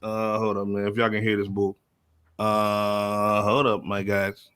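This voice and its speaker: A man's voice: fairly low pitch, monotone, unhurried, a bit bright, clear, resonant tone. A man hosting a casual hip-hop podcast, talking in an informal, slangy, joking way. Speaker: podcast host.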